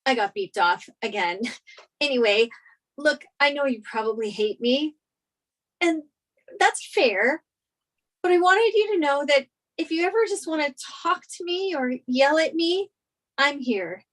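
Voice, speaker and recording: Young female sitcom lead, actress reading, high voice, apologetic, rambling, anxious. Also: lively intonation, a little slow, fairly bright tone. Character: apologetic, anxious young woman who fled a fiancé. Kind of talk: sitcom script table read.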